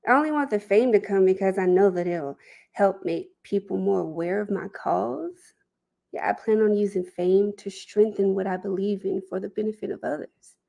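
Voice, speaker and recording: A woman performing a dramatic audition monologue as an earnest, reflective, idealistic young person, speaking deliberately. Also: medium pitch, creaky, mellow. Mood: earnest, hopeful.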